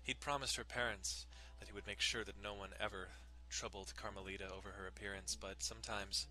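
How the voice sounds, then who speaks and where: A man's voice: fairly low pitch, fairly even intonation, a little fast, sharp tone, poor audio. A man giving a dramatic reading of a visual novel as its narrator. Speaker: dramatic reader.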